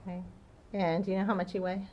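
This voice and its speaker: A woman attorney questioning a witness in court, patient and gentle, with occasional fillers. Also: mid-range voice, flat delivery, fast talker, lo-fi sound, a little hiss or hum. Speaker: prosecutor.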